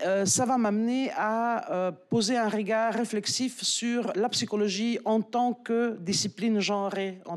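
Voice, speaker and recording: A woman's voice: mid-range voice, a little mellow. A woman in her forties with an Italian-Belgian French accent, giving a conference talk into a handheld mic in a lecture hall, hesitant with many fillers and restarts. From Italy. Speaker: academic speaker.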